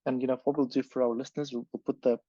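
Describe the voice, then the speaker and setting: A male podcast host talks quickly in casual chat, often repeating words and restarting his sentences. His voice is mellow and warm at a middle pitch. The recording is dry and a little dull and lo-fi. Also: level tone, no echo. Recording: dull, slightly lo-fi.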